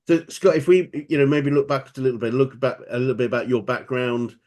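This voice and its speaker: A man's voice: mid-range voice, steady tone, fast talker, a little mellow. A man hosting a business interview, encouraging his guest with frequent affirmations and occasional stumbles. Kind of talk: conversational interview.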